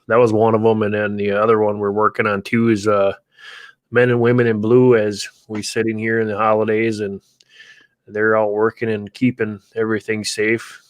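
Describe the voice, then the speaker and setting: Man, Wisconsin accent, stream host; rambling, easygoing, mid pitch, dry home-studio sound. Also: no echo. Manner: rambling, easygoing, laughs often. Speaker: livestream host. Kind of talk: casual livestream chat.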